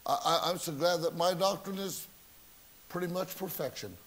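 A man preaching in a church, his voice sitting a little high for a man. He tells homely stories and asks rhetorical questions. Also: moderate pace, fairly bright tone. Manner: folksy storytelling with rhetorical questions, self-mocking. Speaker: pastor. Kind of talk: sermon. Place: church sanctuary.

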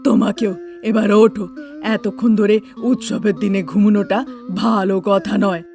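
A woman's voice: medium pitch, level tone, normal speed, gravelly, a little mellow, faint music behind, natural voice. A woman playing a bossy, nagging elderly mother-in-law and grandmother in a cartoon, with a scolding, insistent manner. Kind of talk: Bengali cartoon dialogue.

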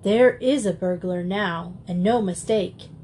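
A woman voicing a bold, theatrical gentleman robber who talks in a gallant, commanding way. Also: medium pitch, a little slow, slight background noise, natural voice. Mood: urgent.

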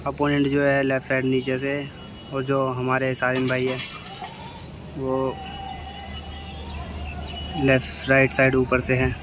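Young guy calling kite fights on a rooftop, dead flat voice, phone mic with traffic noise behind. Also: medium pitch, slow pace, pauses often. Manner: steady running commentary, flat delivery. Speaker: kite fight commentator filming. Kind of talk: live kite fighting commentary. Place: outdoors on a city rooftop. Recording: outdoor phone recording with street noise.

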